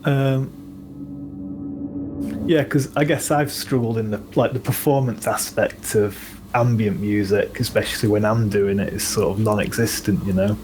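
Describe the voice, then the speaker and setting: Low-voiced Northern English man, rambling. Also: steady tone, moderate pace, a little mellow. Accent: Northern English (Manchester). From England. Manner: low-key, rambling, many ums and you-knows. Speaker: guest musician. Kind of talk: casual podcast conversation. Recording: audible background noise.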